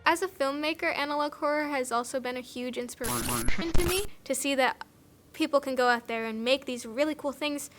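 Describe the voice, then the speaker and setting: A high-pitched woman gives casual, conversational commentary with an enthusiastic manner. Faint music plays behind her as she talks in an interview style. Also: a little fast. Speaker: documentary interviewee, filmmaker.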